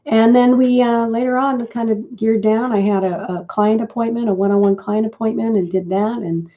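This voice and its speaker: A middle-aged woman hosting a live business broadcast, talking in a chatty, rambling way with a croaky morning voice. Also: fairly high pitch, fairly even intonation, normal speed. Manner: chatty and rambling, with fillers and restarts. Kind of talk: casual live-stream talk. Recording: dull lo-fi stream audio.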